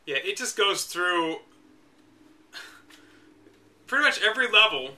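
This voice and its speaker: Bright, sardonic man reading aloud. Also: sits high, sing-song, a little slow. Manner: wry, exasperated asides. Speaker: reader and commentator. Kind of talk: reading a book aloud with sarcastic commentary.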